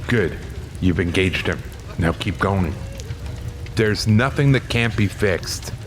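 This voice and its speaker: A man's voice: low-pitched, slow pace, noisy background. A man playing an anxious, earnest policeman in an audio drama, urgent and coaxing as he negotiates. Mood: tense inner thought.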